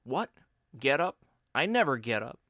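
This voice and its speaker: A man voicing a lazy, joking friend who teases and mock-lectures in a loud, raised voice. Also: mid-range voice, very animated, moderate pace, no echo, clean studio sound. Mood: teasing.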